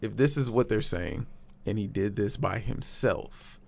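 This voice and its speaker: A man with a fairly low voice and plenty of vocal fry. He talks casually, as a co-host in a group discussion. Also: unhurried, darkish tone, a little hiss or hum.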